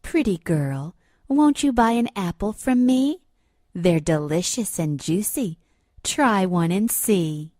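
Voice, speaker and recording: A woman's voice: mid-range voice, lively intonation, slow pace, darkish tone, dry recording. A woman voicing a coaxing old peddler woman in a storybook, sweet-talking and wheedling.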